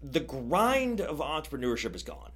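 A man's voice: fairly high pitch, huge pitch swings, normal speed, a bit bright, husky. A man in his thirties, a business owner talking as a guest on a podcast, rambling with many restarts and fillers. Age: 30s. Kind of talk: casual podcast conversation.

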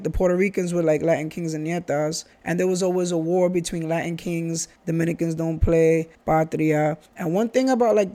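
A man's voice: a little high, dark tone. A man, talking earnestly in a casual conversation, often restarting and repeating phrases. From Bronx, New York. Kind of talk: casual chat.

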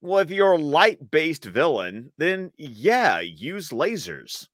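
A man's voice: a little high, very animated, slow, a bit bright, no room sound, clean studio sound. A man co-hosting a casual commentary stream, stressing his points emphatically and often repeating phrases. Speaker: co-host of a cartoon episode review stream.

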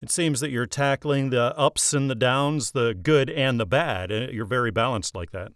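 A man's voice: medium pitch, normal speed, a bit bright, no echo, studio quality. A man hosting an author interview show in a polished radio style, with an upbeat announcer's delivery.

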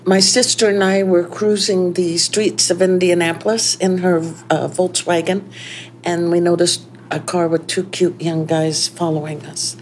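An older woman telling a lively story about her past in a relaxed interview, often laughing as she talks. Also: on the low side, measured pace, a little hiss or hum. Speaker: restaurant owner.

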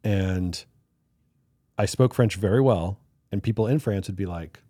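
A young man hosts a podcast in an easygoing, chatty way. His voice is low and mellow. The sound is dry and close. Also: unhurried, dry recording. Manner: relaxed, chatty, tells anecdotes. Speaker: podcast host. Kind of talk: casual interview chat.

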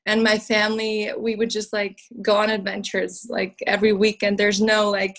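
Relaxed young woman from Switzerland, flat voice. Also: medium pitch, normal speed. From southern Switzerland. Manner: relaxed, uses um and like often. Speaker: guest, cookbook author. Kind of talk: casual interview talk.

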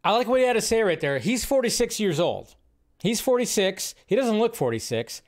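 Higher-voiced man rambling casually. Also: very animated, brisk, background noise. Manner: repeats his phrases, thinking aloud. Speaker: host of a live entertainment news show.

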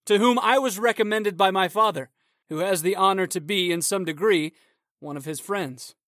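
Man doing a young polite swordsman, high voice, earnest, dry close sound. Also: normal speed, a bit bright, dry recording. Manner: earnest, courteous, formal. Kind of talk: audiobook character reading.

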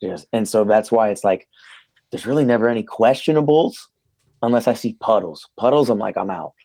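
A young man in casual podcast chat, laid-back and slangy, his voice worn and going hoarse. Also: on the low side, brisk, dark tone. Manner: laid-back, says man and dude a lot. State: losing his voice. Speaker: podcast co-host, PE teacher.

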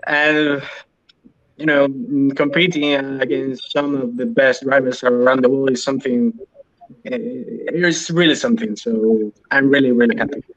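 A man from Spain with a Spanish accent, a podcast guest answering interview questions in halting English with many restarts. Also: mid-range voice, steady tone, a bit bright, muffled recording. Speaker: podcast guest, sim racing driver.

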